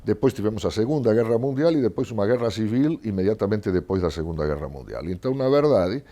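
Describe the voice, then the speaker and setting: Older man, very low voice, dark relaxed tone, Argentine accent, Spanish native, discursive interview talk, frequent checking tags. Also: quick pace. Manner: discursive, often ending on a checking tag question. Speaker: guest historian and professor. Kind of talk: conversational interview, explaining history.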